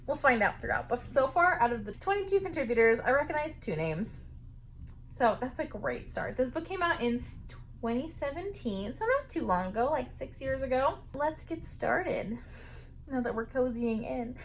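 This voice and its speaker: A woman's voice: high-pitched, very animated, normal speed, a little hiss or hum. A woman in her twenties, chatting casually about a knitting book while sitting up in bed, with a lot of "like" fillers. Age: 20s. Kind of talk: casual reading vlog.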